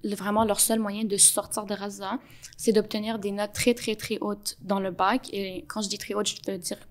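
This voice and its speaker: Young woman, Quebec French accent, flat delivery. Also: mid-range voice. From Montreal, Canada. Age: young adult. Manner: earnest, explanatory, with frequent "euh" pauses. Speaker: podcast guest. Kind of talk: interview answers.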